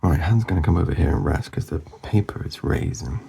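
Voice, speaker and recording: A man talking casually as he works through a drawing, mumbling and rambling in a soft voice that often drops to a whisper, and he is losing his voice. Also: sits low, fairly quick, vocal fry, slightly rough. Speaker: artist demonstrating a drawing.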